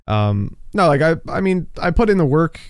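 A man's voice: fairly high pitch, sing-song, normal speed, no echo, studio quality. A young man from Ireland, an esports caster with a mixed, neutral accent, chatting casually and self-deprecatingly in an interview, often restarting his sentences. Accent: mixed, neutral Irish-American.

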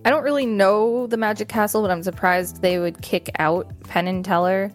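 A woman's voice: medium pitch, fairly even intonation, moderate pace, soft background music. A woman with an American accent, co-hosting a podcast, reading an article aloud with casual remarks. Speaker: podcast co-host.

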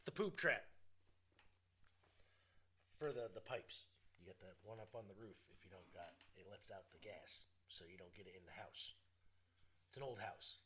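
Animated, bright man co-hosting a podcast. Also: low-pitched, normal speed, pauses often, a little hiss or hum. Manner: animated, swearing, explains at length. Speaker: podcast co-host. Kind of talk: casual chat.

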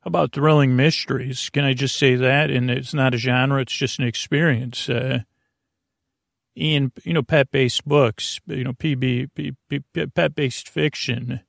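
A man delivers a soothing bedtime monologue in a middle-pitched voice full of gravelly creak. He meanders and stumbles over his words, drifting from one tangent to the next. The sound is dry, with no room echo. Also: steady tone, unhurried. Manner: meandering, hesitant, stammering with tangents. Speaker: host of a bedtime sleep podcast.

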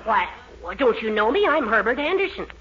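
An actor playing a fussy, prim, easily flustered little husband in a 1940s radio comedy. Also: male voice, very high voice, very animated, brisk, crowd noise. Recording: dull old radio recording.

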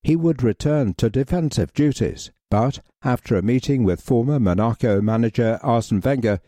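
A man reading a football history article aloud in a measured, even way. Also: mid-range voice, no echo, clean studio sound. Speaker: reader.

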